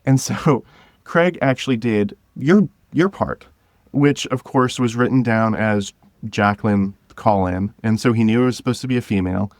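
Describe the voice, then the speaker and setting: A man speaking as an interview guest, telling stories about directing in a casual, halting way with some chuckles. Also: medium pitch, darkish tone, no echo. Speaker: guest, director of an audio drama podcast.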